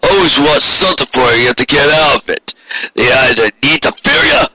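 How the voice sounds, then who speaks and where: A man voicing a big, gruff, cynical stallion boss in a storybook, with a slow, forceful, grudging way of talking. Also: medium pitch, animated, poor audio. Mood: cynical, grumbling.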